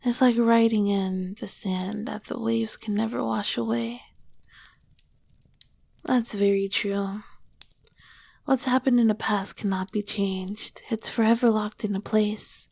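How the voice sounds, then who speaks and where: A woman playing an ancient, tender, teasing succubus queen in an intimate ASMR roleplay, soft-spoken and often dropping to a whisper. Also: mid-range voice, steady tone, vocal fry, dry recording. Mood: regretful, reflective. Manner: slow, gentle, affectionate. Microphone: close microphone, dry.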